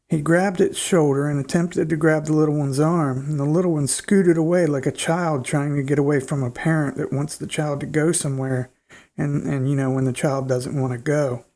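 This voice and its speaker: A man narrates listener stories with a stuffed-up, nasal sound from a head cold. His voice sits a little higher than most men's, and he reads at an even, unhurried pace with the odd small restart. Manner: steady, plain reading pace with small restarts. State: congested, nasal. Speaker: host reading listener stories. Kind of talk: storytelling narration.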